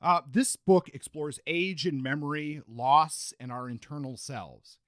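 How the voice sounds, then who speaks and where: A man's voice: fairly high pitch, measured pace, fairly bright tone, no room sound. Man hosting a book podcast, dry sound. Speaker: podcast co-host. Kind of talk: book review and discussion podcast.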